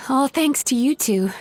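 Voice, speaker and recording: Earnest young woman therapist for a game: fairly high voice, measured, sincere, considerate. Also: lively intonation, noisy background. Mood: relieved. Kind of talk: video game voice acting.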